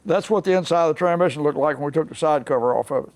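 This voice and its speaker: A man's voice: a little high, very fast, darkish tone, dry recording. An older man with a Southern US accent, a mechanic explaining a restoration job in a plainspoken, folksy way. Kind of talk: unscripted workshop explanation. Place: workshop.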